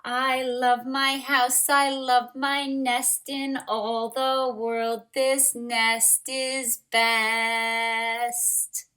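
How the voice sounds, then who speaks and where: A woman as a slow-talking, contented mother bird. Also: fairly high pitch, flat delivery, pushed and bright, full character voice. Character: contented motherly storybook bird, fond of her nest.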